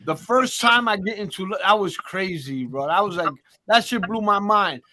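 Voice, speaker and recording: A man who calls himself a boxing historian, talking excitedly in a group chat, stammering and swearing freely. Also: sits high, normal speed.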